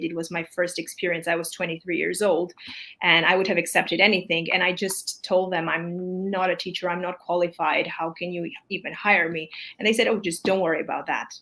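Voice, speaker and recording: A woman's voice: fairly low pitch, a bit bright. A woman in her thirties with Armenian as her first language, hosting a casual live chat in a chatty, filler-heavy way. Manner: chatty, apologetic, with many fillers. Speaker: English teacher, host.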